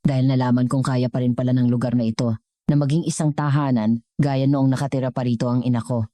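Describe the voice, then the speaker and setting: A woman's voice: extremely low pitch, steady tone, fast talker, mellow, no room sound, studio quality. A Filipino-accented woman voicing a wealthy, earnest businessman in love, speaking sincerely and directly in storybook dialogue.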